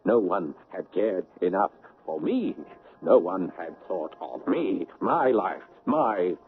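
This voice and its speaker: An actor playing a grief-stricken, bitter son in a 1970s radio drama, halting and anguished as he repeats himself. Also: deep voice, heavily acted. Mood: desperate. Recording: muffled, noisy 1970s radio broadcast.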